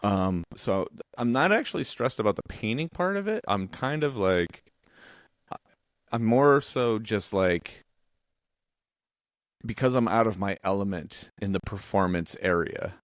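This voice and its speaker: A man's voice: on the low side, measured pace, dry recording, studio quality. A man in his forties chatting casually as a podcast co-host, rambling and restarting his sentences as he tells stories, with some casual swearing. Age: 40s. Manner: rambling, self-interrupting, animated storytelling; casually profane. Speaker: visual artist.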